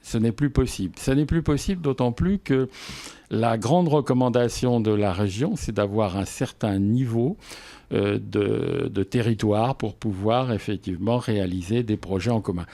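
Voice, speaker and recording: Low, mellow male local official. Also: moderate pace, gravelly. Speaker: interview guest, local intercommunal president. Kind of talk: explanatory radio interview answers.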